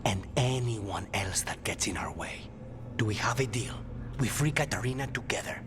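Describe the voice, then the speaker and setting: A man's voice: on the low side, expressive, normal speed, close to an everyday voice. A man playing a troubled, intense assassin in a video game, speaking with a Spanish accent. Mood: resolved. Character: troubled male assassin sharing his mind with a demon. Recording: game music behind.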